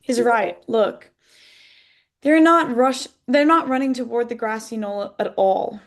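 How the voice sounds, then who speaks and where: A woman's voice: on the high side, measured pace. A woman playing a curious, open-minded audience member in a screenplay table read.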